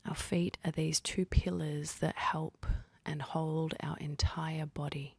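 A woman leading a guided meditation in a soft, husky, breathy voice, speaking calmly and gently with unhurried pauses. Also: deep voice, no echo. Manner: calm, gentle, unhurried instructing with long pauses.

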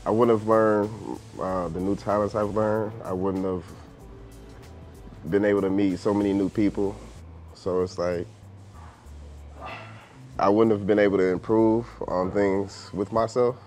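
A young man working as an employee, speaking gratefully and softly in a casual interview in a workshop. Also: lowish voice, flat delivery, measured pace, long pauses, soft background music. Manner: grateful, soft-spoken. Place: furniture workshop.

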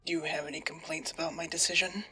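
A woman's voice: low voice, level tone, fast, breathy edge, heavily acted. A woman playing a stern, regal goat monarch with a commanding, formal manner in a comic dub. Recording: loud music behind the voice.

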